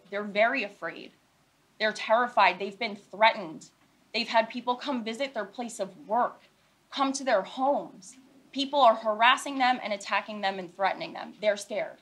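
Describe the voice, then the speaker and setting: An American woman lawyer giving a prepared statement at a news conference, speaking deliberately and emphatically and repeating her points in short phrases. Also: a little high, fairly bright tone. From Philadelphia, United States.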